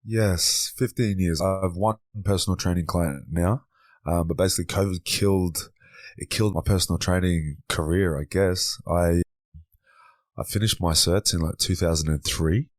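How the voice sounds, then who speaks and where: A deep, low-pitched man in his thirties chats easily as a podcast guest. His delivery is relaxed, and he circles back over words while gathering his thoughts. The sound is dry and close, with no room around it. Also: moderate pace, no echo. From Australia. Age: 30s. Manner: easygoing, repeats words while thinking. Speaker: podcast guest, men's coach. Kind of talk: relaxed conversational interview.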